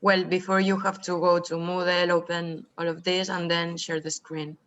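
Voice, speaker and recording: A woman's voice: on the low side, level tone, moderate pace, a bit bright, lo-fi sound. A woman from Spain with a Spanish accent, talking hesitantly on a video call in a student group meeting. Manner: hesitant, thinking aloud, laughs often. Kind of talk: casual online meeting.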